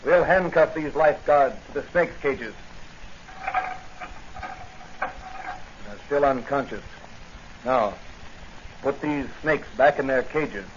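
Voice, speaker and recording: An actor playing a confident, stern masked crime-fighter in a 1940s-style radio serial. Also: male voice, medium pitch, takes it slowly, pauses often, lightly acted. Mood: stern, commanding. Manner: taunting, commanding. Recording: dull, noisy old radio serial recording.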